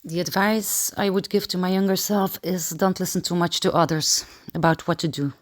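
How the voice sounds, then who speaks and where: A woman from Belgium, a painter calmly reading a personal statement about her work. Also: fairly low pitch, normal speed.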